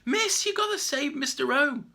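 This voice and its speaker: A man's voice: high-pitched, normal speed, pushed and bright, pitched above the performer's own voice, exaggerated voice. A man voicing an earnest young schoolboy who pleads and stammers in a storybook. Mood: pleading.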